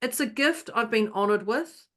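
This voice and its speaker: Animated woman telling stories on a podcast. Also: on the high side, normal speed. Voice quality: not calming, by her own account. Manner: animated anecdotes, many restarts, acts out others' lines. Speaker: podcast co-host. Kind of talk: casual podcast chat, storytelling.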